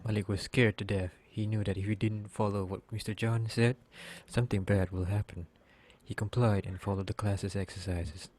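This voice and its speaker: A man narrates a scripted story. His voice is fairly low and stays very even in pitch. He reads it out plainly as a drama narrator. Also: normal speed, no room sound.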